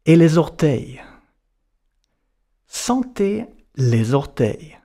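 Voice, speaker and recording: A man guiding a relaxation as a yoga and meditation teacher, giving measured instructions in short, separated phrases. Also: fairly high pitch, big pitch swings, warm tone. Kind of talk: guided relaxation narration.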